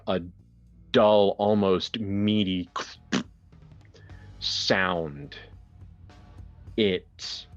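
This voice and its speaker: A man narrating a horror tabletop game as game master, theatrical and deliberate with long pauses, in dull lo-fi sound. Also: low-pitched.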